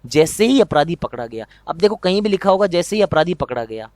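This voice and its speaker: A man's voice: on the high side, big pitch swings, fairly quick, darkish tone, dry recording. A young man with a Rajasthani accent teaching an exam prep lesson, repeating key points and asking students rhetorical questions.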